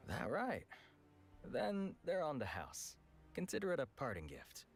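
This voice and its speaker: An actor playing a frank, world-weary weapons merchant in a video game, with a casual, blunt manner. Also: male voice, on the high side, wildly swooping pitch, measured pace, creaky, exaggerated voice. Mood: surprised. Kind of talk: scripted game voice acting. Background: background music.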